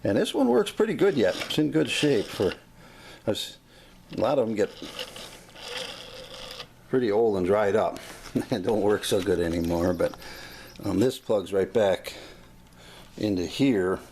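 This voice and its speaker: Low-voiced folksy American man, noisy recording. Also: animated, a little mellow. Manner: folksy, plain-spoken, halting. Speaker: hobbyist demonstrating a vintage gadget. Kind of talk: casual unscripted demonstration. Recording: clearly noisy amateur recording.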